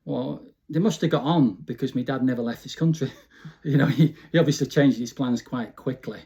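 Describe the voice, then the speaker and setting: A man's voice: medium pitch, brisk, vocal fry, warm tone. A man in his fifties with a Bolton, Lancashire accent, reminiscing casually and informally in an interview, often adding "you know". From Bolton, Lancashire, England. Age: 50s. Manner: chatty, informal, frequent "you know" tags. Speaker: interview guest.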